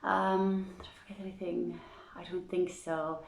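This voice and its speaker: A woman hosting an online reading with an informal welcome, speaking in short phrases with pauses. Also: on the low side, little pitch movement, vocal fry, slight background noise. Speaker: host and reader of a story series. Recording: echoey room.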